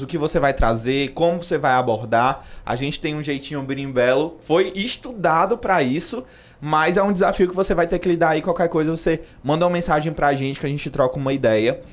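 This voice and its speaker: A man hosting a casual podcast, joking and laughing often as he chats with his co-hosts. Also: fairly high pitch. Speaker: podcast host.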